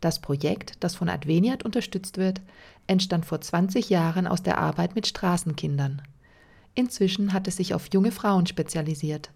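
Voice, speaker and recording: A woman narrating a documentary report in an even, measured read. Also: lowish voice, creaky. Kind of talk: scripted narration. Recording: faint music underneath.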